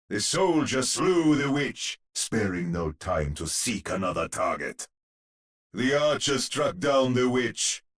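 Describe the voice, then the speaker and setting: A man playing a grave storyteller who narrates a dark Halloween tale for a video game in an ominous, theatrical manner with a husky voice. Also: low-pitched, full character voice.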